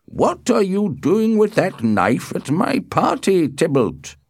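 A man's voice: high-pitched, wildly swooping pitch, slow pace, creaky, slightly rough, no echo, clean studio sound, voice pushed up, full character voice. A man voicing an angry, commanding older nobleman in a storybook, scolding with authority.

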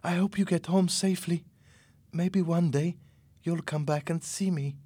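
A man voicing a gentle, hopeful young woman in an audiobook story, speaking softly and earnestly. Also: a little high, fairly even intonation, a little slow, breathy edge, dry recording, pitched above the performer's own voice. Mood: tender, wistful. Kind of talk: audiobook character acting.

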